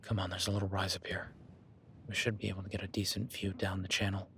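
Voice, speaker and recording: A man voicing a rugged, protective cowboy rancher in a listener-addressed audio roleplay, talking in a low-key, calm, instructive way that often drops to a hush. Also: quick pace, vocal fry, a little hiss or hum. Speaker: ranch hand tracking a beast.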